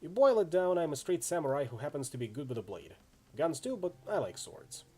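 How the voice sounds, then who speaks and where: A man's voice: fairly high pitch, very animated, a little fast, darkish tone. A man voicing a friendly, easygoing orc swordsman in a video game, chatty and full of laid-back street slang. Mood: chatty, proud. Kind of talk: acted reading of role-playing game dialogue.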